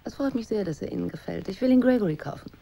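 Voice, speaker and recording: An actress playing an eager, solicitous society wife in a radio play, polite and attentive. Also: female voice, medium pitch, animated, rapid-fire, creaky, mellow, dull audio. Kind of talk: radio drama dialogue.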